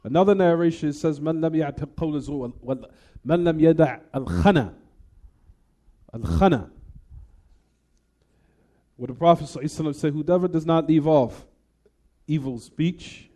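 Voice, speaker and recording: A man teaching a hadith class. He keeps asking the room questions and answering them himself, and he recites Arabic quickly between plain explanations. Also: a little high, dark tone. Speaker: Islamic teacher.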